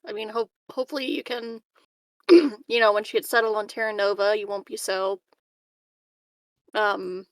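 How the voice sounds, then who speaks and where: A woman playing a tabletop roleplaying game, improvising dialogue casually in a halting, playful, teasing way. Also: mid-range voice, steady tone, no echo.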